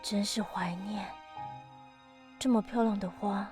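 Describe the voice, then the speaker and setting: A woman's voice: mid-range voice, fairly even intonation, slow, close to an everyday voice. A woman playing a mysterious ancient being of the lake in an audio drama, casual and teasing with a wistful side. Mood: quiet, wistful. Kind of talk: audio drama dialogue acting. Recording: studio voice over background music.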